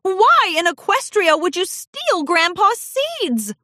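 Lady doing a shrill stern pony mom, very high and loud, dry sound. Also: very animated, slow pace, no room sound, exaggerated voice. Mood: scolding. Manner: shrill scolding. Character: stern mother mare pony, embarrassed and scolding.

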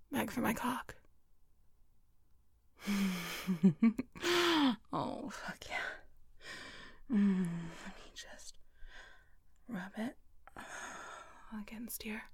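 A woman performing intimate adult audio roleplay in a breathy, whispery voice, with a teasing, dominant manner. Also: fairly high pitch, warm tone, dry recording, studio quality. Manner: slow, teasing, dominant murmuring.

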